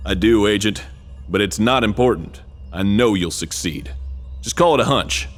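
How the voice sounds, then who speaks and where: Man playing a low-voiced, earnest game major. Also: moderate pace, faint music behind, natural voice. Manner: solemn, matter-of-fact about absurd things. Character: earnest male major briefing his agent, absurdly serious. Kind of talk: comedic video game cutscene acting.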